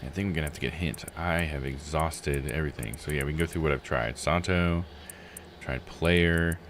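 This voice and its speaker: Deep voiced guy streaming a game, kinda musing out loud, noticeable background noise. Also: brisk. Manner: musing, self-questioning. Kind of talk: casual live gameplay commentary, thinking aloud.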